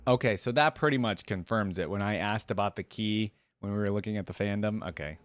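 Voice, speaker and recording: A man's voice: lowish voice, fairly quick, no room sound, faint music behind. A man hosting a reaction video, commenting casually in slangy, amazed fan talk.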